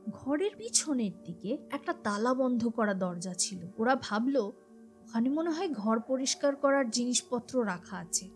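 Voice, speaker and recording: A woman's voice: fairly high pitch, lively intonation, measured pace. A woman narrating a scripted horror story in a dramatic storytelling style. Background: music behind the voice.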